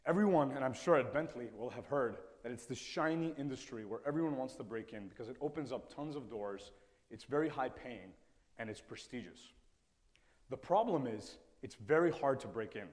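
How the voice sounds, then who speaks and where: A man's voice: mid-range voice, animated, moderate pace, a little mellow. A man in his twenties from Ecuador, a native Spanish speaker, telling personal stories in an earnest, reflective talk on stage. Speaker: TEDx speaker. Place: stage in a lecture hall. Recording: reverberant hall sound.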